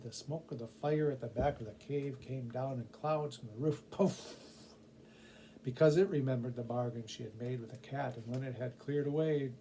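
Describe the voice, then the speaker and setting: A man reading a children's story aloud with an expressive storyteller's emphasis. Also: medium pitch, slight background noise, low-quality recording. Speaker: volunteer story reader.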